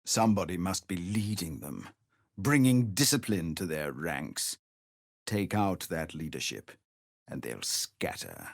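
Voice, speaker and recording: Slow, weary tiefling ex-soldier. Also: male voice, low voice, very animated, creaky, no room sound, clean studio sound, heavily acted. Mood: low, subdued. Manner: measured, formal, earnest, grave. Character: weary, dignified tiefling ex-soldier leading refugees. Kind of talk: video game character dialogue.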